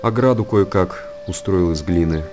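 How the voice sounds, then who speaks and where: An actor playing an aging, world-weary hermit monk in a radio monologue, speaking in a measured, pensive and solemn way. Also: male voice, low voice, over music, natural voice. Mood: wistful.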